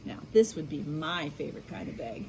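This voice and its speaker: An American woman reading a picture book aloud to children in an expressive way, adding explanatory asides. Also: deep voice, a little hiss or hum. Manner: animated, unhurried, addressing children. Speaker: school media specialist reading aloud.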